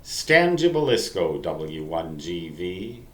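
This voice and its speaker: Slow-talking older man, low voice. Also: sing-song, fairly bright tone. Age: 60s. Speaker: amateur radio hobbyist telling reminiscences. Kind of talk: rambling storytelling monologue to listeners. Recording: roomy, echoey sound.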